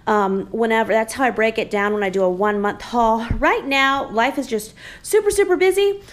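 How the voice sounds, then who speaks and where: A woman's voice: on the high side, normal speed. A woman in her thirties, a home vlogger, chatting casually and rambling with lots of fillers while showing her groceries in her dining room. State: pregnant.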